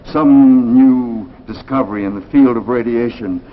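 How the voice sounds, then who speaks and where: An American actor playing a despondent, pleading husband haunted by lost love in a 1950s radio drama. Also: male voice, medium pitch, unhurried, close to an everyday voice. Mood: earnest, brooding. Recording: muffled vintage 1950s radio recording, music behind.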